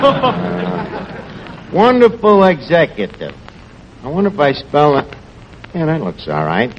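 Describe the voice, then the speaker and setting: A self-important tavern manager for an old radio comedy, puffing himself up with grand words that he gets wrong. His voice is mid-pitched and rises and falls a lot. A faint hiss sits under the recording. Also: creaky, lo-fi sound, heavily acted. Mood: pompous. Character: blustering, self-important working-class male tavern manager. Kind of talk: 1940s radio comedy acting.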